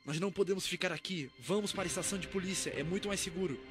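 Man acting young rookie policeman, Brazilian accent, alert, commanding, fan-dubbed game, highish pitch, loud music behind. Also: rapid-fire, lightly acted. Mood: urgent. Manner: urgent, commanding. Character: young rookie policeman, alert, urgent, decent, zombie survivor. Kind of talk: Portuguese fan dub of a video game.

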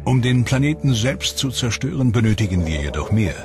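A man narrating a documentary from a script in a measured way, slowing down and dropping his voice on the ominous lines. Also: medium pitch, big pitch swings. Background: documentary music score.

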